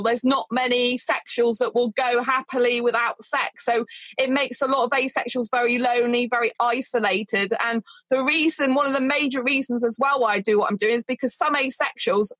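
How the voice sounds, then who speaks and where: A woman speaking as an outspoken advocate, earnest and passionate, who says she has a loud voice. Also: a little high, no echo, poor audio. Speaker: asexuality advocate. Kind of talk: passionate interview talk.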